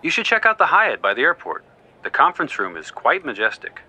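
An animated, ingratiating ex-boyfriend. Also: male voice, mid-range voice, brisk, full character voice. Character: ingratiating ex-boyfriend, adult man.

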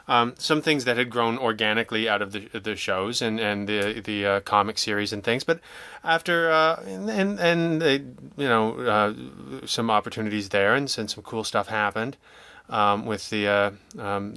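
A man in a casual interview, talking haltingly and self-deprecatingly as he acts out imagined remarks. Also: on the low side, bright, forceful tone, smooth, no echo. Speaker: guest, audio drama writer and producer.

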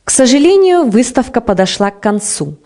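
Mellow-voiced woman news reporter. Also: a little high, very animated, normal speed. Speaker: video news presenter and interviewer. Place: indoor art gallery. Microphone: handheld reporter microphone.

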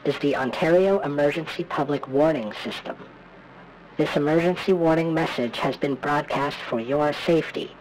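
Public warning announcer, somewhat higher male voice, formal and measured, faint hiss under it. Played by a man. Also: lightly acted, low-quality recording. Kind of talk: emergency broadcast reading.

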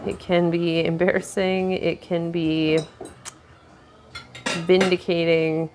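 A woman casually narrating her weekly meal prep in a home kitchen, with relaxed, dry running commentary. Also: fairly low pitch, slow, slight background noise. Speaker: home cook vlogger.

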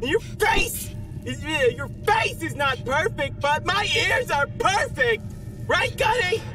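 An actor playing a cocky, childish little robot bunny with a high cartoonish voice in a video game, excitable and wisecracking. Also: takes it slowly, full character voice. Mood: huffy. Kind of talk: video game cutscene acting.

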